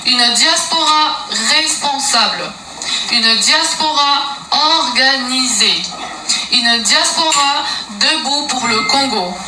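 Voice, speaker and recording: A woman's voice: high-pitched, takes it slowly. A woman opening a press conference with a solemn, emphatic political declaration. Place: meeting room in Brussels. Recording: echoey room from far off, noisy.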